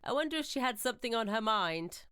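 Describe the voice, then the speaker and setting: A woman playing a bluff, jocular English gentleman host with brisk, old-fashioned phrasing, in an audio drama. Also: fairly high pitch, expressive, clear, resonant tone, dry recording, studio quality, pitched above the performer's own voice. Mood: puzzled, curious.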